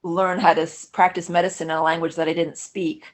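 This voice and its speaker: A woman's voice: fairly low pitch, level tone, fairly quick. A middle-aged woman, a native Russian speaker, talking casually as a podcast guest, thoughtful and often restarting her sentences. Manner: thoughtful, self-correcting, frequent restarts and fillers. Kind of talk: casual conversational interview.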